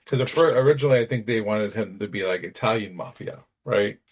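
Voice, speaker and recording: A man's voice: lowish voice, a little fast, breathy edge. A man in a casual film review chat, thinking aloud and hedging his opinions. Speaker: podcast co-host.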